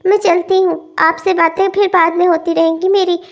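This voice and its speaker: A woman's voice: extremely high pitch, level tone, normal speed, lo-fi sound. A woman playing a meek, dutiful young bride, earnest and plaintive, in a melodramatic family story.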